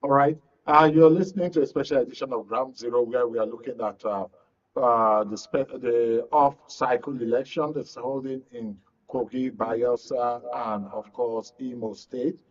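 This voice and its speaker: A man's voice: mid-range voice, normal speed, muffled recording. A man with a Nigerian accent hosting a live current affairs programme. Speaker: programme host.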